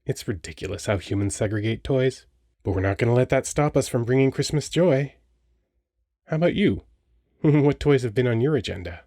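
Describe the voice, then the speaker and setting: A man's voice: medium pitch, lively intonation, moderate pace, dark tone, no echo, studio quality. A man playing a cheerful, flirty Christmas elf toymaker in a romantic audio roleplay, chatty and teasing with the listener. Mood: chatty, earnest. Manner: playful, teasing, bubbly, chatty.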